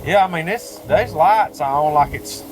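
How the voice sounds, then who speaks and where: A man's voice: on the high side, a little slow, faint music behind. A man at a roadside scene, puzzling aloud with a police colleague. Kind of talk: on-scene talk with a fellow officer. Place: outdoors at a roadside creek. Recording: noisy field recording.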